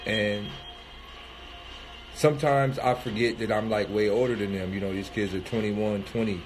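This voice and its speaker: A man's voice: fairly low pitch, fairly even intonation, normal speed, slight background noise, poor audio. A man in his thirties with a cold, sniffling a little as he thinks aloud in a casual livestream monologue, loose and rambling with many fillers and restarts. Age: 30s. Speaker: rapper streaming live.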